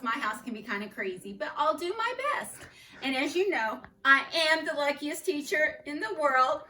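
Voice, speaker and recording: A woman teacher reads a picture book aloud to her students from home. Her voice sits a little high and rings clear and bright. She reads expressively and drops into soft asides to the children between pages. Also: slight background noise.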